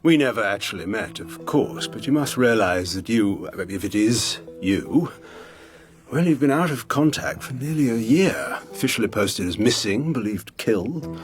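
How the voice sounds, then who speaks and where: An actor playing an affable but probing British intelligence major in a radio drama, careful and officious. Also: male voice, fairly low pitch, animated, normal speed, faint music behind, close to an everyday voice. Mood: affable, cautious.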